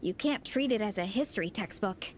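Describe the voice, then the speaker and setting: A woman's voice: medium pitch, animated, a little fast, slight background noise, close to an everyday voice. A woman voicing an enthusiastic, friendly young comic-fan club captain in a video game, chatting casually. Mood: earnest, admiring.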